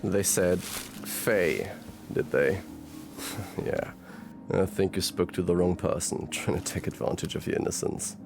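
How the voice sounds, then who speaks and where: Casting: male actor for easygoing paranormal investigator, creaky and wry, teasing delivery, music underneath. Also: sits low, measured pace. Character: wry, easygoing male supernatural detective, protective. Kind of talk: ASMR audio roleplay acting.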